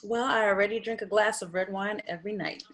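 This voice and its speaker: Stressed young woman lawyer, read by an actress, dry, guarded, brusque, medium pitch, TV comedy table read. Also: fairly even intonation, fast, a bit bright, natural voice.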